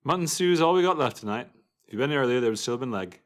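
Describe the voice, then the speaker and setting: A man voicing a brisk, familiar barmaid, a grown woman working a busy tavern in a fantasy story. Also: mid-range voice, animated, lightly acted. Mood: brisk, loud. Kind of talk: fantasy story character dialogue.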